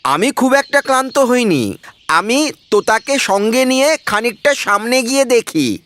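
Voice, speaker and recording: A woman's voice: fairly high pitch, big pitch swings, a little slow, fairly bright tone, no echo. A woman voicing a cautious, considerate cartoon myna bird. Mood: considerate, coaxing. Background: music.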